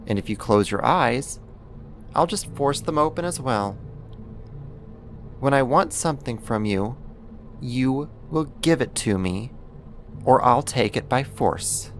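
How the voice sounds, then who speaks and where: An actor playing an obsessive, possessive neighbor turned kidnapper in an ASMR roleplay, speaking in a deliberate, intimate, controlling way. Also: medium pitch, animated, a little hiss or hum. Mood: menacing, commanding.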